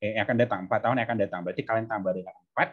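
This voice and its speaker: A male tutor with a fairly low voice explains things step by step in an informal way. He keeps turning to his students with short checking questions. The sound is somewhat dull and lo-fi. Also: fairly even intonation, rapid-fire. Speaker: tutor teaching exam maths.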